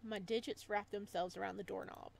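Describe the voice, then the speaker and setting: Woman, medium pitch, let's play narrator, reads aloud, casual expressive asides. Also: a little fast, vocal fry. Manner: expressive, chatty asides between read passages. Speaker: game streamer reading aloud and reacting.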